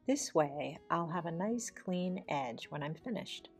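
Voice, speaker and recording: Low-voiced woman calmly teaching watercolor. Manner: measured, explains each step plainly. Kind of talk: calm step-by-step painting demonstration voice-over. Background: soft guitar music.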